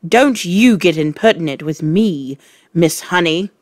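A woman's voice: low voice, expressive, slow, no room sound, clean studio sound. Woman narrator as storybook headmistress, enormous bully, furious, barking insults, scornful.

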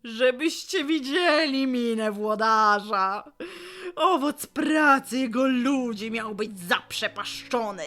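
A woman's voice: a little high, a bit bright. A woman playing a grieving, vengeful willow tree in an audio drama, with a tearful, theatrical delivery. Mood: gloating, tearful. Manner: theatrical, tearful, drawn-out lament. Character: weeping willow tree, grieving, vengeful and bitter female spirit.

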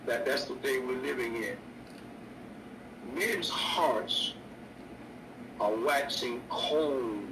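An older man, a bishop preaching a sermon on his radio show, slow and repetitive with stammered restarts. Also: mid-range voice, gravelly. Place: indoor room. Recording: echoey distant mic with noise.

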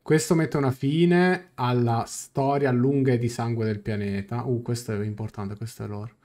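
A young man streaming a game, translating dialogue aloud in a halting, casual reaction. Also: mid-range voice, fairly even intonation, warm tone. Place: small room indoors, on webcam.

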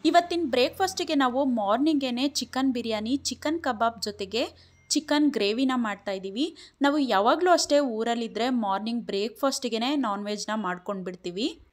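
A young adult woman, giving steady voice-over narration of a family vlog and explaining each scene. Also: a little high, normal speed. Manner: steady, explanatory, mixes English words into Kannada. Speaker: vlogger.

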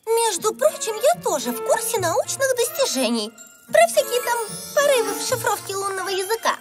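Chirpy, naive little cartoon fairy, extremely high and swooping, gushing delivery. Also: female voice, wildly swooping pitch, normal speed, heavily acted. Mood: indignant. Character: girlish, excitable, boy-crazy little fashionista fairy. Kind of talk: animated cartoon acting. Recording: loud music under the voice.

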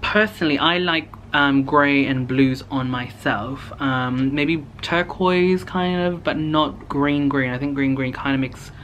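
A young man chats informally to his camera in a home, rambling from one thought to the next. His voice sits at a medium-high pitch for casual talk, with some room noise behind it. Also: measured pace, few pauses, a bit bright. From Hong Kong. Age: young adult. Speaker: vlogger, beauty content creator. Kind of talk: casual chatty vlog to camera. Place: indoors in a home living space. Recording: clear background room noise.

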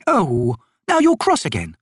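Man putting on a high-pitched haughty noble sorceress. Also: wildly swooping pitch, measured pace, breathy edge, no echo, clean studio sound, exaggerated voice. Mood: coaxing. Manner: ornate, lilting, imperious. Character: haughty, teasing noble sorceress, female, flirtatious and grand.

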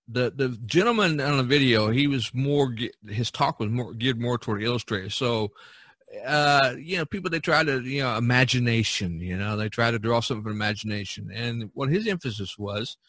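A man hosting a podcast in casual chat, talking in a halting, conversational way. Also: medium pitch, fairly bright tone, no room sound. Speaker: podcast host. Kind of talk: casual chat about topics from a list.

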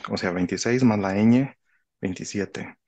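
A man in his thirties with a Mexican Spanish accent, explaining casually and reflectively, often halting and repeating phrases, on a headset in a video call. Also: fairly low pitch, a little mellow. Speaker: author, interview guest. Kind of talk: casual conversational explaining with anecdotes. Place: indoor room, video call.

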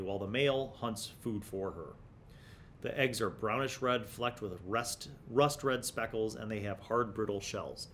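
A man's voice: on the low side, slight background noise. A man in his forties hosting a gaming review, explaining casually and reading passages aloud into a desktop microphone. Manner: conversational, explanatory. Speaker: host of a tabletop gaming review series. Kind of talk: casual commentary with passages read aloud from a magazine. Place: small indoor home studio. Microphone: large desktop condenser microphone.

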